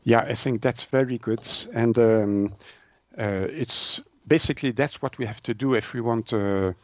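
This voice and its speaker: A man from Switzerland, a native French speaker, explaining things as an interview guest in halting English, searching for words. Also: mid-range voice, darkish tone, dry recording, dull audio. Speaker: naturopath and author, interview guest.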